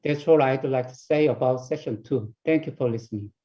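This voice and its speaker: A man with a Korean accent, a conference panelist reading a prepared summary in halting, non-native phrasing. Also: mid-range voice, mellow, lo-fi sound.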